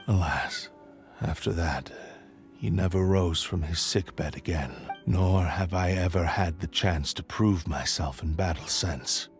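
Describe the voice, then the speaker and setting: Grave cursed sword spirit. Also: male voice, low-pitched. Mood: solemn. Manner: grave, formal, measured. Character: solemn ancient cursed sword spirit, male, remorseful. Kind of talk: video game voice acting.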